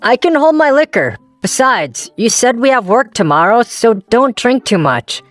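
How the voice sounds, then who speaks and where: A woman's voice: a little high, sing-song, moderate pace, no echo, soft background music. A woman voicing a young male office worker and ex-boyfriend in a manga dub, introspective and wryly self-deprecating, narrating and talking in character. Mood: firm, concerned.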